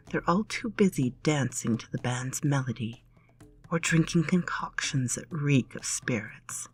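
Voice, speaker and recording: Storyteller, woman, husky whispery read, intimate. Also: sits low. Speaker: story narrator. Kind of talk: dramatic storybook reading.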